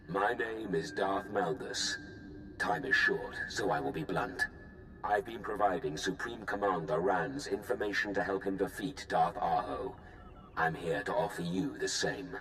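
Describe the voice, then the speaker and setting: An actor playing a ruthless, scheming Sith lord who talks in a measured, blunt, commanding way. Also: male voice, deep voice, fairly bright tone, reverberant, soft background music, poor audio. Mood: cold, calculating. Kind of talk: video game cutscene acting.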